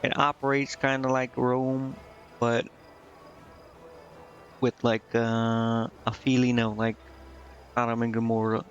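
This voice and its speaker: A man with a mid-pitched voice streams a game, reading text aloud in a casual way. He trips over words at times and corrects himself. The sound is a little dull, with music playing behind him. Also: little pitch movement, takes it slowly, pauses often.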